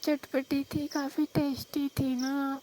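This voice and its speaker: A woman acts a shy young Rajasthani village wife with a high voice that stays very even in pitch. She talks coyly and hesitantly, as in a casual late-night phone call. The recording has faint noise and night insects. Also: slow pace. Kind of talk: staged phone-call role-play in casual talk.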